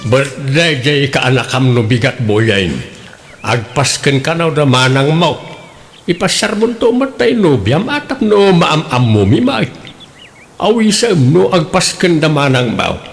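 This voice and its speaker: A man's voice: on the high side, very animated, unhurried, creaky, soft background music, full character voice. A man playing a nosy, coaxing middle-aged father in a comedic radio drama, talking in a probing, colloquial, fatherly way.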